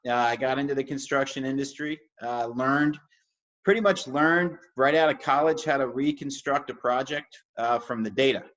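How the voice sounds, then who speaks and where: Man, muffled lo-fi recording, medium pitch, from New York, informal guest, conversational storyteller. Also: normal speed, fairly bright tone. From New York, United States. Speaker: interview guest, construction analytics CEO. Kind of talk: casual conversational personal storytelling. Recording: dull, lo-fi sound.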